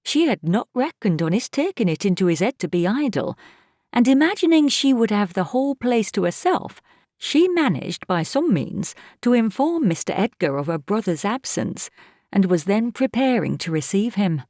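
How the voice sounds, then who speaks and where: Mellow, measured housekeeper storyteller. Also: female voice, medium pitch, very animated, dry recording, clean studio sound. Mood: measured, observant. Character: observant, plain-spoken housekeeper recounting a story. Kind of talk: scripted audiobook narration.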